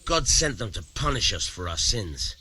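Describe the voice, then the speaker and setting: An actor playing a shrewd, amoral village miller in a medieval video game, gruff, coarse and slyly persuasive. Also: male voice, fairly low pitch, sing-song, moderate pace, bright, forceful tone, slight background noise, exaggerated voice. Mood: grumbling. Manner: sly, persuasive, coarse-tongued. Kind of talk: video game dialogue acting.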